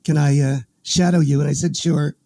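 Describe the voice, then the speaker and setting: A man hosting a podcast, folksy and energetic, often restarting his words, with a dry close-mic sound. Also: a little high, moderate pace, vocal fry, dry recording. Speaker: podcast host. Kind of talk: casual interview with promo reads.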